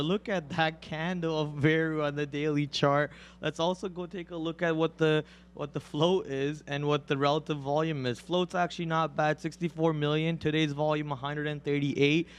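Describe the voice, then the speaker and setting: Raspy-voiced man giving live trading commentary. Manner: rapid excited play-by-play, gets loud and high when a stock moves. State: raspy voice from a cold. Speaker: day trader and co-host.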